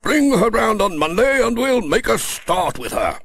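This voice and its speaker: Man putting on a jolly older colonel, raised pitch, husky, unhurried. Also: sing-song, a bit bright, no echo, exaggerated voice. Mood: delighted. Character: hearty older retired army colonel.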